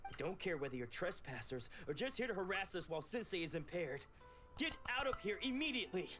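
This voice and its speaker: Loyal young dojo student for a game, protective, polite formal diction, music underneath. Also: male voice, high voice, big pitch swings, quick pace, pushed and bright, heavily acted. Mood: hostile, alarmed. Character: earnest young male sword disciple, protective and formal. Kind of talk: video game dialogue acting.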